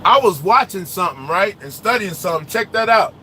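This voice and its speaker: A man who drives trucks, talking casually in his truck cab on the road, loud and animated with slangy trash talk. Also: sits high, a little fast, a little hiss or hum. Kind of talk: casual ranting chat while driving.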